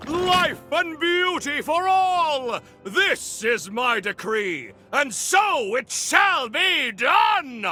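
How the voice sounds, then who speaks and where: A flamboyant immortal nobleman from a video game, speaks with pompous, courtly grandeur. His voice swings widely in pitch and has a bright, forceful edge. He takes his time over his words, with music behind him. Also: big pitch swings, extremely slow, hardly any pauses, full character voice. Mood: triumphant, rousing. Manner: theatrical, pompous, courtly formal phrasing. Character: flamboyant, noble, centuries-old immortal man, grandiose and devoted. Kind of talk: video game character acting.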